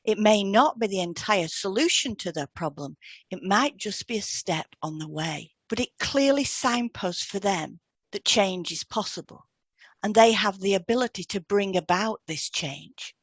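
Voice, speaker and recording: A woman narrates a scripted podcast in deliberate, measured phrases. Her mid-range voice rises and falls expressively, recorded dry with no noticeable room sound. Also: mid-range voice, animated, slightly rough, no room sound. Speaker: hypnotherapist and podcast co-host.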